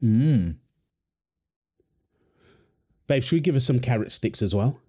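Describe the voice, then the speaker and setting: A man's voice: medium pitch, animated, fairly quick, mellow, husky. A man playing a young father feeding his baby daughter, playful and affectionate, laughing often. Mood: playful teasing. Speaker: voice actor in audio roleplay. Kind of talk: wholesome audio roleplay.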